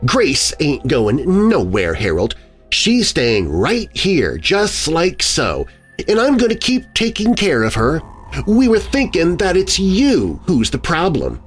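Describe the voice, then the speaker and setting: Man putting on a sinister, higher-voiced country wife. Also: wildly swooping pitch, moderate pace, breathy edge, soft background music, full character voice. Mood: sneering menace. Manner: falsely soothing, hissing menace. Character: sinister rural wife, soothing, sneering, coldly deranged.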